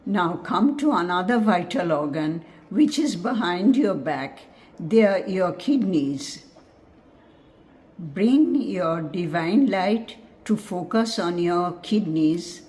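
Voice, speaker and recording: Slow, mellow woman guiding meditation. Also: lowish voice. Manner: measured, instructive, soothing. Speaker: meditation guide. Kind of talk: guided meditation, scripted narration.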